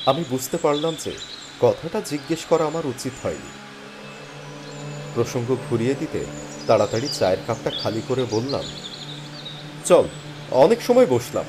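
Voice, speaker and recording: A man playing a weary, penniless job seeker who tells his story in expressive, plaintive dramatic narration. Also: mid-range voice, noisy background, close to an everyday voice. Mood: plaintive, friendly. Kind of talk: first-person dramatic story narration and character dialogue. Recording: music behind the voice. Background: background music.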